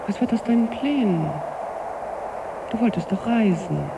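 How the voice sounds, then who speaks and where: A woman's voice: medium pitch, creaky. An older actress playing a worried, doubting mother in a German radio play, questioning and admonishing. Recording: distant, hissy noisy cassette radio recording.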